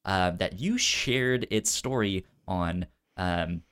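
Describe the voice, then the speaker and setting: A man co-hosting a casual film review podcast, speaking informally and haltingly, with a frequent stutter that repeats sounds and syllables. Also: low-pitched, a bit bright, smooth. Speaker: podcast co-host.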